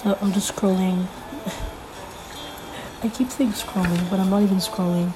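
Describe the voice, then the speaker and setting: A woman's voice: medium pitch, flat delivery, measured pace, rough recording. Congested young woman rambling over music. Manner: rambling, filler-heavy, thinking aloud while flipping pages. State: congested from a recent illness. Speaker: podcast host. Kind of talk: casual solo podcast chat.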